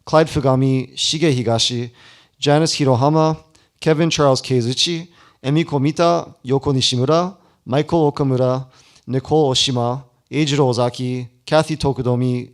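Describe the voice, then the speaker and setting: A man's voice: mid-range voice, brisk. A man hosting a live ceremony in a casual, informal way with playful banter. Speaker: event host. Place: live awards ceremony before an audience.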